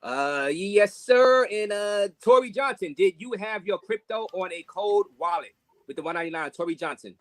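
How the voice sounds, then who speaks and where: A man's voice: high voice, lively intonation, moderate pace. A man co-hosting a stream, reading out donations in a loose, offhand way. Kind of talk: casual stream talk.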